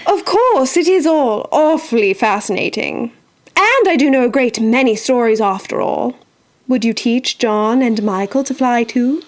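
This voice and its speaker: A woman's voice: a little high, expressive, a little slow. A woman playing a polite, eager young girl full of wonder in an audio drama. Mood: excited.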